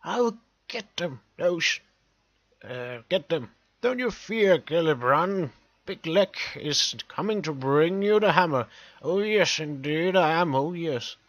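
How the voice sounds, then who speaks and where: A man voicing a dying old dwarf warrior from a fantasy storybook, delirious and imploring in a strained, halting, exclamatory way. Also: on the high side, lively intonation, a bit bright, no room sound, exaggerated voice. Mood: delirious defiance. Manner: halting, imploring, repeating himself.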